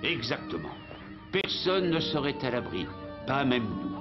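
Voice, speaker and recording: Articulate cartoon schemer-explainer, medium pitch, theatrical, measured, loud music behind dull audio. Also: male voice, sing-song. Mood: ominous. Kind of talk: French cartoon dubbing.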